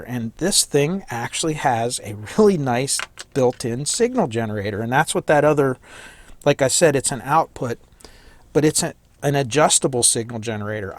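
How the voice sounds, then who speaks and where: A man with a slightly hoarse voice giving a casual hands-on electronics tutorial, thinking aloud and correcting himself as he works. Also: mid-range voice, dry recording. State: slightly hoarse, losing his voice. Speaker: electronics hobbyist demonstrating a kit.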